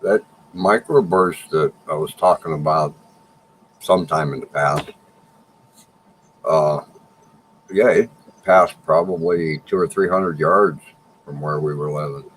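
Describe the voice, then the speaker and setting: Very low-voiced man, matter-of-fact. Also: measured pace, pauses often, a little hiss or hum, rough recording. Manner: plain, matter-of-fact explaining. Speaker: livestream co-host. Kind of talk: casual chat.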